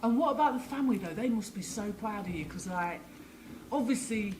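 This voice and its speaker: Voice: medium pitch, lively intonation, a little fast. Chatty interviewer over faint hiss. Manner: chatty, laughs along. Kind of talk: casual interview questions.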